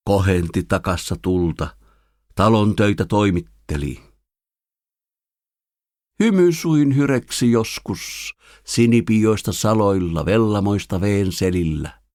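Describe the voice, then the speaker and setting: A man's voice: fairly low pitch, darkish tone, no room sound, studio quality. A man performing a dramatic recitation of narrative poetry.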